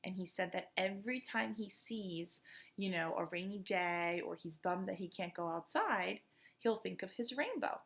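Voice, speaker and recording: A school principal, a woman born in the United States, talks in a relaxed, chatty way with many fillers as she introduces and reads a storybook aloud to children. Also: mid-range voice, normal speed, creaky.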